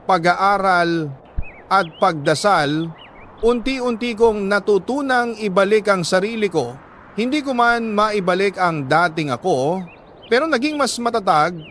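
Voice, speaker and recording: A Filipino man reading a listener's letter as a storytelling host, in dramatic, sentimental narration with deliberate phrasing and pauses. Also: sits high, a bit bright, slight background noise.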